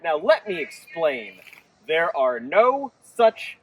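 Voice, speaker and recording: Man as sensible, high-pitched lady scout leader. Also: sing-song, slow, slightly rough, distant mic, exaggerated voice, rough recording. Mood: reassuring. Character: sensible, confident female scout leader explaining calmly.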